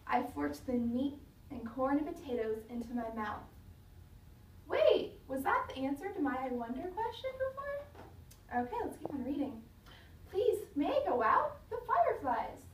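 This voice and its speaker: A woman teacher reading a children's story aloud in a dramatic, expressive way, pausing to question her listeners. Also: sits high. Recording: roomy, distant mic, faint noise.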